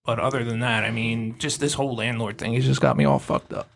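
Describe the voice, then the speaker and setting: A man's voice: mid-range voice, fairly even intonation, fairly quick, creaky, background noise. A man co-hosting a podcast, talking in a laid-back way and swearing. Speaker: podcast co-host. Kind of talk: casual chat.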